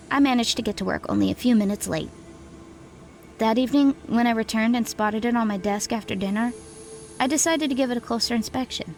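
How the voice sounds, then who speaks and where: A woman narrating a scary story in the first person, reading from a script. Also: a little high.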